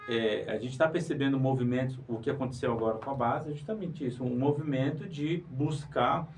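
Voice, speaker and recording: A man's voice: mid-range voice, steady tone, fairly quick, darkish tone, soft background music. A man from northern Brazil giving an interview, explaining with rhetorical questions and an emphatic, teacherly manner. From Pará, Brazil. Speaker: guest, writer and museologist. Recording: distant mic with faint noise.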